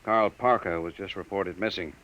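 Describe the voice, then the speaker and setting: A man's voice: low-pitched, normal speed, muffled recording, close to an everyday voice. An actor playing a skeptical, commanding middle-aged male bureau chief who gives orders to his assistant, in 1950s radio drama style. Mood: grave. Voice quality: brusque, commanding. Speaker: boss. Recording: old radio broadcast with faint hiss.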